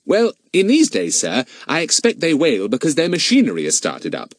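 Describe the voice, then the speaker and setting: A man's voice: a little high, huge pitch swings, moderate pace, dry recording, studio quality, voice pushed up. A man voicing a clever, confident schoolboy sleuth in an audiobook, talkative, polite and mock-innocent.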